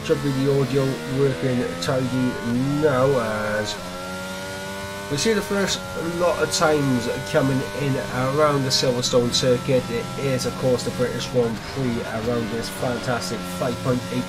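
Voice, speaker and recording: A man's voice: medium pitch, poor audio. Man commentating, voice worn from illness. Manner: measured, holding back excitement to spare his voice. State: voice weak from recent illness. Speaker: sim racing commentator. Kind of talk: live play-by-play commentary. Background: loud music.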